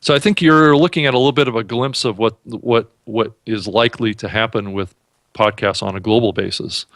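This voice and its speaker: A man with a low voice hosts a casual podcast and thinks aloud, often restarting and repeating words. The recording is dry and close, with no room echo. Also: steady tone, a little fast, fairly bright tone. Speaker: podcast host. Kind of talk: casual chat.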